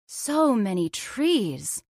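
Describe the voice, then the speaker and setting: A woman's voice: medium pitch, sing-song, extremely slow, a little mellow, no echo, clean studio sound, exaggerated voice. A woman playing an anxious, insistent young woman in an old-time radio horror drama, pleading and questioning dramatically. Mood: uneasy wonder.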